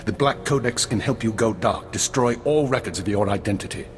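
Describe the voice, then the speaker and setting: An actor playing a weary, regretful older intelligence minister in a video game, speaking in a measured, formal and authoritative way. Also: male voice, on the low side, natural voice. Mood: solemn, resolute. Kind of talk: scripted dramatic game acting. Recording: orchestral music behind.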